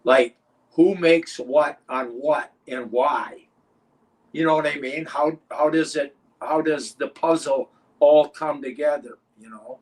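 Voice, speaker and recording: A man's voice: a little high, steady tone, slow pace, poor audio. An older man and former wrestler, telling rambling, profane stories as an interview guest. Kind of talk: casual interview chat.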